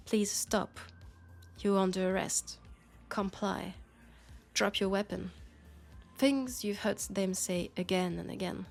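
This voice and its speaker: A woman's voice: mid-range voice, vocal fry, a little mellow. French-accented policewoman, grave and caring, acted by a woman. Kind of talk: intimate sci-fi audio roleplay monologue.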